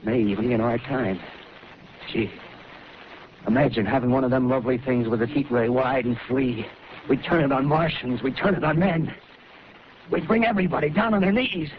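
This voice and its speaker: A man's voice: medium pitch, normal speed, lightly acted. Man playing a ranting militiaman on muffled old radio. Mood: excited, grandiose. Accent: American. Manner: rough colloquial talk, fervent, ranting. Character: wild-eyed survivalist militiaman, ragged, scheming, power-hungry. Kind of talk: 1930s radio acting. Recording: noisy, muffled 1938 radio broadcast recording.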